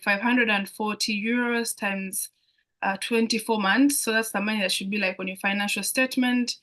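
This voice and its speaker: A woman's voice: on the high side, pushed and bright. A young woman from Uganda, a student guest on a live online Q&A, speaking over a video call and talking hesitantly with many fillers and restarts.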